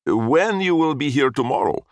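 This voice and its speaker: A pompous old immigrant gentleman, as a man puts him on, lifting his voice above the reader's own and dragging out each phrase. He speaks with broken word order, booming like he is reciting. The recording is close and dry. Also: very animated, no room sound. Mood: declaiming. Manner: broken word order, declaiming, heavy slow emphasis.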